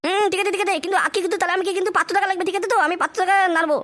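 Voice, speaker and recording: A foul-mouthed little cartoon brat, extremely shrill and artificially pitched up. He rattles along very fast in a whiny, crude way, punctuating lines with short questions, as in a homemade comedy dub. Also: fairly even intonation, pushed and bright. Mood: appeased, eager. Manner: whiny grumbling, crude, repeated question tags. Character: grumpy, foul-mouthed bratty boy. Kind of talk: amateur comic cartoon dub.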